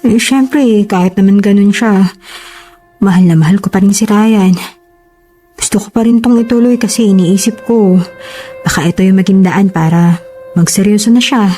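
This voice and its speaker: A woman's voice: mid-range voice, normal speed, long pauses, warm tone, soft background music, natural voice. A woman playing a devoted, hurt bride-to-be in a relationship drama, speaking emotively with a Filipino accent. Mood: defensive, devoted. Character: long-suffering, devoted bride-to-be, hurt and defensive.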